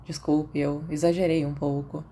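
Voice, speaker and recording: A woman voicing a weary, awkward teenage boy in an audiobook, halting and apologetic. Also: low-pitched, little pitch movement, warm tone, a little hiss or hum, close to an everyday voice. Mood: tired, apologetic.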